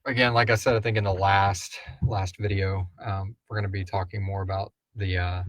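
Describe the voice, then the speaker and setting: A man with a fairly low voice, recorded close and dry. He hosts a casual live Q&A in a loose, rambling style, joking irreverently and dropping "like" into his sentences. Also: level tone, normal speed, a bit bright, dry recording. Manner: rambling, frequent "like". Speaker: host and writer of a horror audio drama.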